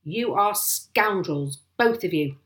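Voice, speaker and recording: Woman as angry male landowner, haughty, bullying, blustering, loud, demanding, storybook villain. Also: fairly low pitch, expressive, moderate pace. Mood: indignant.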